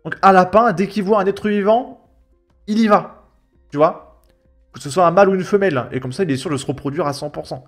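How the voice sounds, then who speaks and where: A man's voice: high voice, a little fast. Sarcastic, exasperated young Frenchman over music. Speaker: YouTube commentator. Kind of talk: casual mocking reaction commentary. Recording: music behind the voice. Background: background music.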